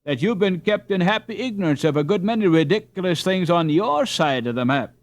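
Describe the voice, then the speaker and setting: A man's voice: high voice, lively intonation, fairly quick, voice pushed up. A man voicing a proud, easily indignant Dutch boy, emphatic and argumentative, in a storybook reading.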